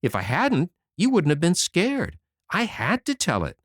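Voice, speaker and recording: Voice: huge pitch swings, unhurried, no room sound, studio quality. A reader voicing a mischievous six-year-old boy who pleads and questions eagerly, in an audiobook. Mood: cheeky. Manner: high, eager childish pleading. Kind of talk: audiobook character voice.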